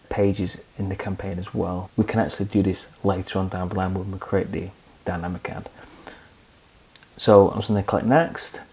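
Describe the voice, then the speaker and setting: A young man hosting a screen-recorded software tutorial, calmly walking through each click step by step. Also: fairly low pitch. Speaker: tutorial host.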